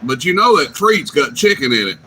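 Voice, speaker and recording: A man's voice: a little high, very animated, brisk. A man teasing and joking with a friend on CB radio. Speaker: CB radio operator. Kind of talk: casual radio banter. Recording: noisy CB radio transmission.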